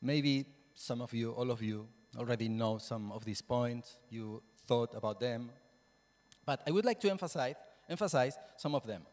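A man in his forties with a Spanish accent, a native Spanish speaker, giving a halting conference presentation into a handheld microphone, repeating words for emphasis. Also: mid-range voice, darkish tone. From Spain. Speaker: Spanish teacher and plenary speaker. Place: lecture hall, indoors. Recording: reverberant lecture room.

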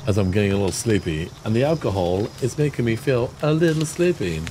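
Casting note: man in his twenties, low, animated voice, easy gaming-stream banter, slight noise behind. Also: fast talker. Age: 20s. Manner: chatty, laughs often, thanks viewers. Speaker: streamer host. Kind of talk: casual gameplay chat.

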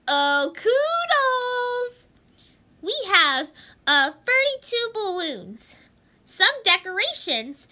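A young-sounding female amateur voicing an excitable, bossy, cute cartoon girl with dramatic, exclamatory delivery. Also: very high-pitched, full character voice. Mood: cheerful. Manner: exclamatory, dramatic, over-enunciated. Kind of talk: amateur voice acting of cartoon lines.

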